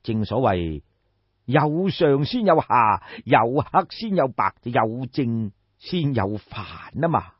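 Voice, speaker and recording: A man's voice: medium pitch, sing-song, takes it slowly, husky, no room sound, muffled recording, exaggerated voice. A man voicing a shrewd, commanding ancient king in an audiobook, lecturing in a pompous, rhetorical way. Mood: knowing, lecturing. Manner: pompous, rhetorical, sighing and explaining at length. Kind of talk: dramatised audiobook storytelling.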